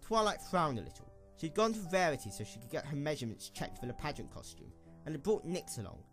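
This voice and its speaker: A man narrating a scripted story as an expressive storyteller for an audio drama. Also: a little high, fast talker, soft background music.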